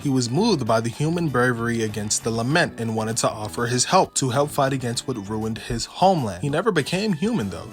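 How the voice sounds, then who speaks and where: A man's voice: medium pitch, fairly bright tone. A man narrating a video game story recap in a casual, blunt, informal way.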